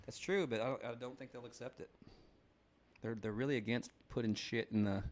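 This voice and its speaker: A male podcast host with an ordinary mid-range voice. He chats loosely and drops jokes in a flat, deadpan way. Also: mid-range voice, brisk, crowd noise. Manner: joking, deadpan. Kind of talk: casual comedic chat.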